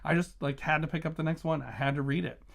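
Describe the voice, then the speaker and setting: A man's voice: on the high side, fast talker, creaky. A man hosting a casual book review vlog, talking conversationally and emphatically, stressing and repeating key words.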